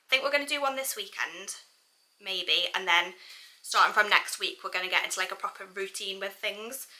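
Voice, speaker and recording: Young British female family vlogger, very bright tone, mid pitch, chatty and informal. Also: fairly quick, low-quality recording. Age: young adult. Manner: chatty, informal, thinking aloud. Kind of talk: casual vlog chat.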